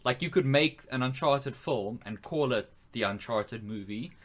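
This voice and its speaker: A man's voice: mid-range voice, measured pace. A man co-hosting a podcast in casual conversation, discussing and putting questions to the others. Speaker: podcast co-host. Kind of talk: casual chat, posing questions to co-hosts.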